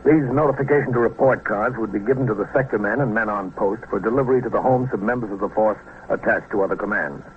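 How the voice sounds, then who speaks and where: A businesslike police captain played by a man narrates in a fairly low, measured, official voice. The vintage radio drama recording is narrow and tinny with faint hiss. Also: natural voice. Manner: measured, official, matter-of-fact. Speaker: narrator and lead.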